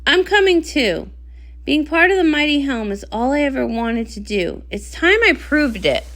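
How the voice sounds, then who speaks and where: A woman's voice: high voice, very animated, normal speed, fairly bright tone, exaggerated voice. A woman voicing a strong male pony guard, an earnest and determined hero, in a storybook read-aloud.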